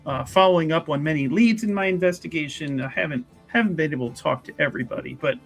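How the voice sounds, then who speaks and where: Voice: mid-range voice, fast talker, vocal fry, husky. A player voicing a polite, hesitant female church investigator who repeats words while probing, in a tabletop roleplay. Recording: music behind the voice. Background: music.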